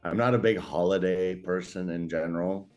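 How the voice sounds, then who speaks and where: A man's voice: sits low, normal speed, a little mellow. A man in his thirties from South Africa, a native Afrikaans speaker with an American accent, chatting informally and hesitantly as an interview guest. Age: 30s. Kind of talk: casual interview chat.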